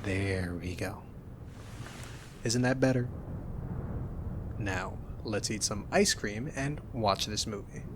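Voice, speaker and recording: A young man doing an ASMR roleplay as a roommate, talking softly in a teasing, reassuring way. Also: on the low side, takes it slowly, long pauses, background noise.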